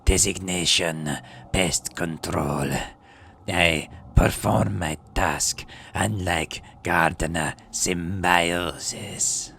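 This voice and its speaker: Low-voiced man with a very lively delivery. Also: a little hiss or hum. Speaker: gaming channel host. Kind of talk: game let's play, dramatic text narration and casual commentary.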